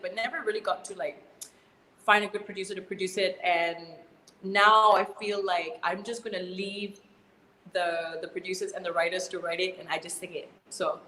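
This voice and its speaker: A woman with a Malaysian English accent chats as a guest singer, animated and quick to laugh, leaning on fillers as she talks. Her voice sits at a middle pitch. The room is echoey, with a long reverb tail and a faint hiss of noise underneath. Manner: animated, laughs often, many "you know" fillers. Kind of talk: casual interview chat.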